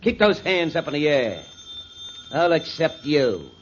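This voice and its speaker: A man's voice: a little high, sing-song, measured pace. A male actor playing a cocky, smugly polite masked robber who commands and taunts, in 1940s radio crime drama style. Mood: commanding. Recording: noisy muffled 1940s radio recording.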